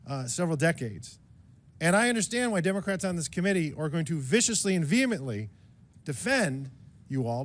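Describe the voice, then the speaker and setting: A man questioning a witness at a congressional hearing, firing off statistics in a combative, accusatory way. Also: fairly high pitch. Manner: combative, rapid-fire accusatory.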